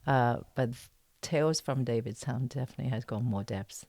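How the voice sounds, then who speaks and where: Low-pitched, hesitant woman in an interview. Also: moderate pace, creaky, dark tone, dry recording, clean studio sound. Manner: hesitant, searching for words in short broken phrases. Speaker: guest actress being interviewed. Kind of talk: casual podcast interview chat.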